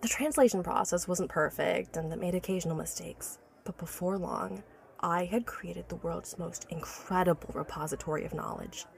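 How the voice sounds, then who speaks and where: A woman's voice: lowish voice, normal speed, gravelly. A woman playing an obsessive, learned inventor who recounts her life's work earnestly and articulately in an audio drama. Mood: awed, intense. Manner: articulate, earnest, stammers when awed by her subject.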